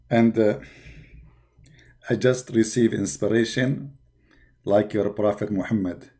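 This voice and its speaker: A man's voice: fairly low pitch, fairly even intonation, slow, pauses often, dark tone. A male host commenting on a played video. He mocks the speaker with sarcasm and talks directly to his viewers. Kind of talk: casual reaction commentary on a livestream.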